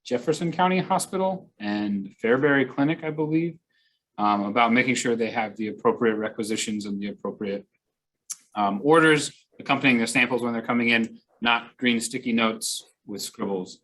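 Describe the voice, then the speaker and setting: A man gives a client services update on a conference call. His voice is medium in pitch, and he talks casually with a lightly wry touch. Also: normal speed, a bit bright, rough recording. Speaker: client services staff member. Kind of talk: informal work update on a call.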